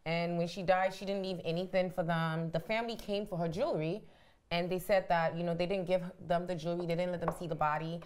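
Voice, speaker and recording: Low-voiced chatty storyteller woman from Ghana. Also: steady tone, fast talker, noisy background. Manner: chatty storyteller with frequent restarts. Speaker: co-host. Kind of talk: casual panel chat.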